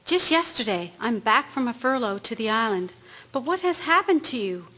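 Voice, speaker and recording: A woman's voice: on the high side, sing-song, normal speed, bright, forceful tone, exaggerated voice. A woman acting a caring, concerned First World War nursing sister in a radio drama.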